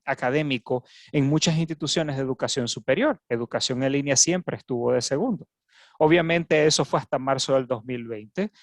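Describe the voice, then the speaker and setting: A Venezuelan man giving a keynote talk at an academic webinar over a video call, with a Venezuelan Spanish accent, often pausing and repeating words as he goes. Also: medium pitch, dry recording, clean studio sound. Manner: frequent hesitations and repeated words. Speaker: keynote speaker. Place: home office in Canada.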